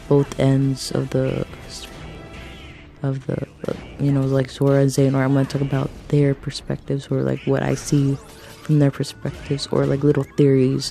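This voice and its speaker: Casual fan vlogger, woman, raspy and gravelly, hesitant, drifts off topic. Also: sits low, dark tone. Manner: thinks aloud, hesitant, jumps between topics. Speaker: video game fan vlogger. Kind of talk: rambling casual monologue.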